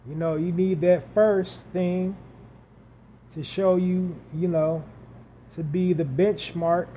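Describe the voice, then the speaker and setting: A man's voice: sits high, steady tone, slow. A man hosting a solo podcast, talking unscripted and building points through emphatic repetition and lists. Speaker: podcast host. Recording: dull lo-fi audio with faint hiss.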